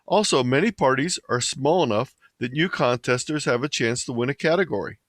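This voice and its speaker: A man's voice: medium pitch, a little fast, a bit bright, dry recording. Man reading a presentation, very dry sound. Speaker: presenter of an amateur radio slideshow. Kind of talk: scripted presentation narration, read aloud.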